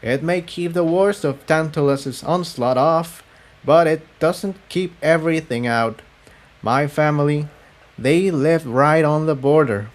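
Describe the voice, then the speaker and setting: Man voicing a hesitant, higher-pitched female pony defector. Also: measured pace, close to an everyday voice. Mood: hesitant, sad. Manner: hesitant, carefully chosen words.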